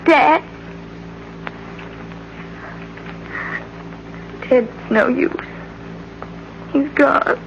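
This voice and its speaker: A woman's voice: high-pitched, very slow, vocal fry, full character voice. A woman acting an anxious, devoted young daughter in an old-time radio drama, speaking with earnest, fearful urgency. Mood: stricken. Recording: muffled, noisy old radio broadcast recording.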